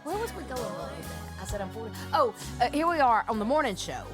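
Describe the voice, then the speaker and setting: A woman's voice: a little high, very animated, fairly quick, music in the background. A woman in her forties hosting a radio morning show, chatting in a rambling, folksy way and laughing often. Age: 40s. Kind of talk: casual radio interview.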